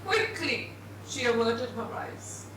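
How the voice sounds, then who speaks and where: A woman giving a deliberate, dramatised reading of literary fiction. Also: on the high side, animated. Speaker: reader at a book event. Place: indoor event venue before an audience. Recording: very echoey, distant, faintly noisy hall.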